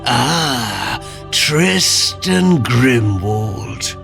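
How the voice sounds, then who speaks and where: An actor playing a scheming, obsequious servant of a dark king in a fantasy audio drama, with a courtly, measured manner. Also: medium pitch, very animated, gravelly, heavily acted. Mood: eager servility. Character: fawning, scheming male henchman to a dark king. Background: dramatic music.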